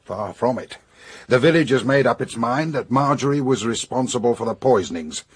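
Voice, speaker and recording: An actor playing a brisk, businesslike British police superintendent giving matter-of-fact briefings in a radio drama. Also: male voice, medium pitch, animated, slightly rough.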